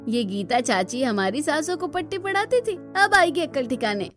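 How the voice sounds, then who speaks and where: Cartoon daughter-in-law voiced by a woman: young, high, sharp-tongued, gossipy, music bed. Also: sing-song, moderate pace. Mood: gleeful gossip. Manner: complaining, gossipy.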